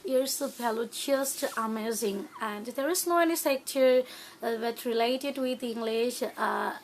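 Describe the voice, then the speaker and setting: A young Bangladeshi woman, a native Bengali speaker, hosting her own channel and talking to viewers in halting English full of "uh" fillers and restarts. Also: on the high side, a little hiss or hum, rough recording. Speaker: YouTube channel host. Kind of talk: casual talk to camera on learning English.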